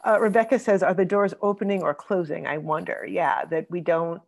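A woman with a low, gravelly voice guides a group discussion over a video call. She talks in a hesitant, thoughtful way, pausing often and filling gaps. The sound comes through a plain computer microphone. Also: steady tone. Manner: thoughtful, hesitant, with many fillers. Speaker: discussion moderator. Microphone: computer mic on a video call.

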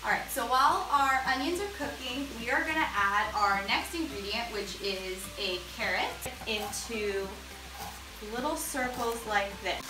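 A woman's voice: on the high side, unhurried, roomy sound, music in the background, low-quality recording. A young woman in her home kitchen, walking children through a soup recipe step by step in a friendly, instructing way. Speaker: cooking teacher. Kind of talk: kids' cooking lesson.

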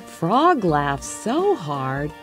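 Slow, expressive woman reading to children. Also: sits low, soft background music. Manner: expressive, deliberate. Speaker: children's storytime host. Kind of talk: read-aloud storytelling for young children.

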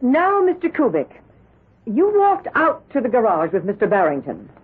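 On a muffled 1970s radio recording, a sceptical female prosecutor. Her husky voice swings widely as she presses every question with pointed insistence. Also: fairly high pitch, wildly swooping pitch, moderate pace, full character voice. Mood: sceptical, probing. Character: stern, sceptical female prosecutor cross-examining a witness. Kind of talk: 1970s radio drama acting.

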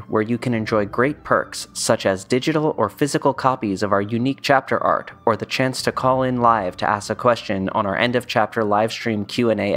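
A man's voice: mid-range voice, fairly bright tone. A man reading scripted announcements as the announcer of a podcast. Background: music.

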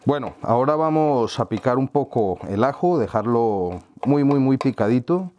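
A middle-aged man from Colombia, hosting a home cooking tutorial and calmly explaining each step with small friendly asides. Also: mid-range voice, normal speed, lo-fi sound, a little hiss or hum. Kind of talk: relaxed recipe explanation. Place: home kitchen, indoors.

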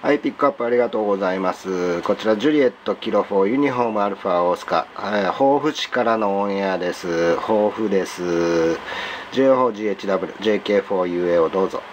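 A man's voice: lowish voice, fast talker. A man working as an amateur radio operator, speaking politely and procedurally as he exchanges call signs and reports. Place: indoors at a home radio desk. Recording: dull lo-fi room recording with faint noise.

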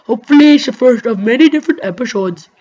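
A woman giving rambling, excitable let's-play commentary over her gameplay, talking straight to her viewers. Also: fairly high pitch, fast talker. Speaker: gaming commentator. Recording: dull lo-fi mic with faint music.

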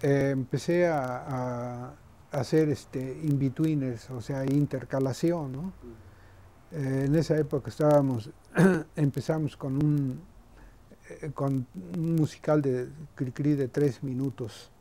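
A man's voice: mid-range voice, steady tone, takes it slowly, warm tone. An older man with a Mexican accent, casually reminiscing in an interview and hesitantly searching for words. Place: small cluttered indoor room.

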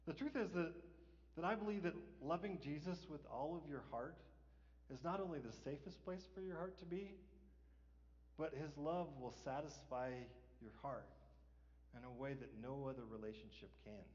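A man's voice: a little high, moderate pace, a little mellow. A middle-aged man preaching a sermon as pastor, conversational, often asking "right?" and chuckling. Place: large church sanctuary, stage. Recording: dull, hall reverb.

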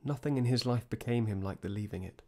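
A man's voice: fairly low pitch, fast talker, mellow, close to an everyday voice. A man as a dutiful, sober prince. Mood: sober, respectful. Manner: formal, sober. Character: dutiful young prince reporting to the king. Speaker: actor in an amateur radio drama. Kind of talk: Shakespearean radio drama acting.

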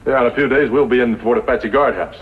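A man acting a rough, blunt outlaw henchman and stagecoach robber with a gruff, urgent manner in a 1950s radio western. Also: medium pitch, fast talker, lightly acted. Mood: uneasy. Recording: noisy muffled vintage radio recording.

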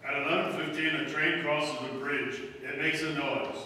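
A man's voice: fairly high pitch, steady tone, moderate pace, pushed and bright. A man playing a businesslike, matter-of-fact hired killer in an old-time radio drama. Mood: impatient, assured. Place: small stage hall before an audience. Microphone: distant camera. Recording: distant echoey hall, hissy.

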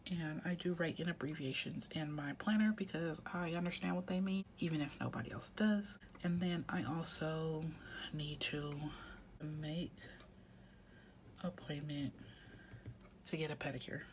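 A woman's voice: deep voice, a little slow, a little hiss or hum. A woman with a head cold, congested, chatting casually and often half-whispering as she fills out her weekly planner at home. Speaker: planner vlogger. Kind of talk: casual chat while planning.

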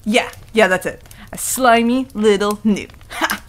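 A woman's voice: medium pitch, a little slow, natural voice. A woman playing a stubborn, easily flustered female werewolf who stammers defensively, in an ASMR roleplay. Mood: teasing, flustered. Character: flustered, stubborn tsundere female werewolf, young, secretly affectionate.

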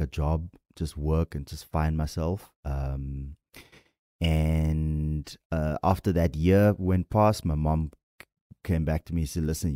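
A man with a South African accent, a podcast guest telling his story in a relaxed way with many fillers. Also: rumbling low, dry recording, clean studio sound. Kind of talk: casual interview talk.

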